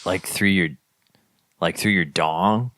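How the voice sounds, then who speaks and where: A man's voice: sits low, takes it slowly, fairly bright tone, no echo, studio quality. A man chatting in improvised comedy as a laid-back, slangy slacker who hedges his way through sentences. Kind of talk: casual improvised comedy chat.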